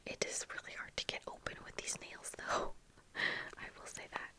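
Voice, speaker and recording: A young woman whispering a casual, rambling ASMR get-ready chat, breathy and unhurried, close to the microphone. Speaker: ASMR video creator. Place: small indoor room with bookshelves. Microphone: close fluffy-covered ASMR microphone, earphones worn.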